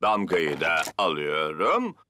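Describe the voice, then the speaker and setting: An earnest, courteous male rabbit office worker from a cartoon. He speaks slowly in a bright, forceful tone, with faint noise behind. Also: low-pitched, wildly swooping pitch, heavily acted. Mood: earnest, obliging. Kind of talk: children's cartoon dub.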